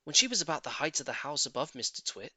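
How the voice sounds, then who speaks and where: Animated male children's storyteller, bright voice. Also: on the high side, fast talker, husky, no room sound. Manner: theatrical, emphatic storytelling. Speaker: audiobook narrator. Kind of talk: expressive scripted narration of a children's story.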